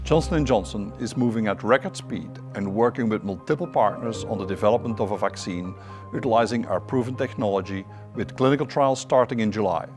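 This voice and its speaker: A man delivering a scripted corporate statement in a steady, formal way. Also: on the low side, fairly quick. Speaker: company spokesperson. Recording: music bed behind.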